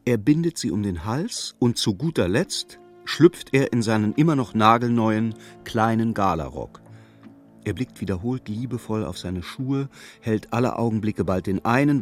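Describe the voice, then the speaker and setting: A man's voice: lowish voice, animated, moderate pace. A man narrating a radio play in scripted, literary present-tense prose. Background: music.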